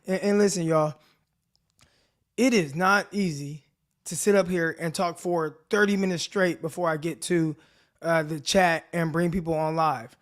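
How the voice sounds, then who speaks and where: A man with a naturally raspy, dry voice hosts a live sports talk stream. He talks fairly high and loose, tossing slang straight at his viewers with an easy, chatty manner. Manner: laid-back slangy chat addressing viewers directly. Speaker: podcast host. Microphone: desk mic with earbuds in.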